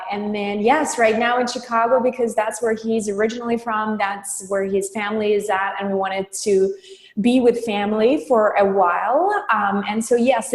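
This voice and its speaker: A woman in her thirties from Estonia, a podcast guest chatting casually and warmly, often repeating "yes". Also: medium pitch. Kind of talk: casual interview chat. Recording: roomy reverberant room sound.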